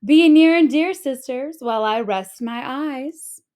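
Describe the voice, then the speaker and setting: A cheerful little female dassie from a storybook, played by a woman reading aloud. She speaks in a sing-song way, fairly high and unhurried. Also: a little mellow. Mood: cheerful calling.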